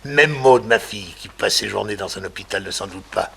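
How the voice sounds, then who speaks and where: An older man playing a mad, vengeful murderer who gloats theatrically, with a gravelly, husky voice, in a 1960s French radio crime drama. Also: lowish voice, fairly quick, a bit bright, slight background noise. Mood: manic triumph.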